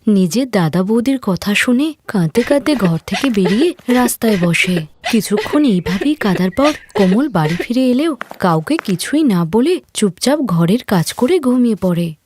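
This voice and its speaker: A woman's voice: mid-range voice, expressive, moderate pace, a little mellow, a little hiss or hum. A woman narrating a scripted fairy tale as a storyteller.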